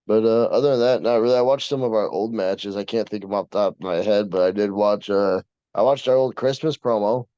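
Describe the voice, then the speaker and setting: A young American man co-hosting a casual podcast in a low, dark voice. He talks in a brash, slangy way and swears freely. The sound is dull and lo-fi. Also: brisk, clear, resonant tone, no echo. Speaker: podcast co-host and wrestler. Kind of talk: casual chat.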